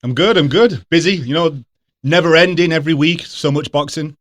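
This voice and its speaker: Male co-host with a slightly high voice. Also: a bit bright. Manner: brief interjections, quick to laugh. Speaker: podcast co-host. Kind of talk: casual podcast chat.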